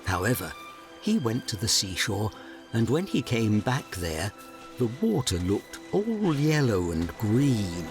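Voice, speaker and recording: A man narrating a fairy tale audio drama in a measured, dramatic storybook style. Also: lowish voice, big pitch swings, over music.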